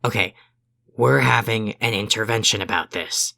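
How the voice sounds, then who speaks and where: An irritable, bossy young male alien from an audio drama, played by a man. He has a gravelly creak and a tense, forceful edge. He talks bluntly, with sardonic emphasis. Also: fairly low pitch, moderate pace. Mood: scolding, sardonic.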